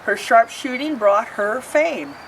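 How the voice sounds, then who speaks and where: A woman reading a rhyming picture book aloud, pausing to explain the pictures. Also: on the high side, animated, far from the mic, a little hiss or hum, low-quality recording. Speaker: storybook reader.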